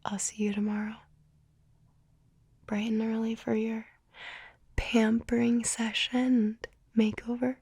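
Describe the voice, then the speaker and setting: A young woman whispering softly in an ASMR roleplay, gentle and tender, a little tearful. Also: a little high, gravelly, breathy edge, dry recording. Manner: soft whispering.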